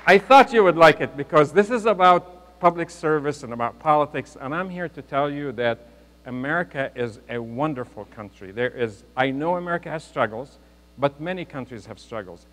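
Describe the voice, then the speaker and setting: A man's voice: fairly high pitch, lively intonation, moderate pace, a bit bright. A man in his fifties from Lebanon, giving an earnest, joking motivational speech to a live audience. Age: 50s. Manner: earnest, joking, speaks to the crowd. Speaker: guest speaker. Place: large hall with a live audience. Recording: reverberant hall, mains hum.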